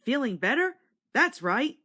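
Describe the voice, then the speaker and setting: Woman voicing a bright-spirited, self-important little engine. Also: high-pitched, sing-song, voice pushed up, exaggerated voice. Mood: cheerful. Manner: brisk, kindly, self-important. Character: friendly, cheerful, self-important male little tank engine.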